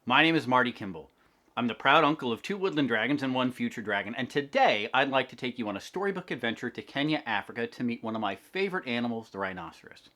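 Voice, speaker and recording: A man giving an expressive, clearly phrased read-aloud of a children's storybook. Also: mid-range voice, fast talker. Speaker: volunteer storybook reader for a wildlife nonprofit.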